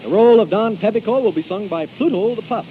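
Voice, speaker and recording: A man's voice: high voice, fast. A man hosting in 1930s radio style, theatrical as he moves between comic banter and announcing. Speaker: radio show host and announcer. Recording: tinny 1930s radio broadcast, loud music.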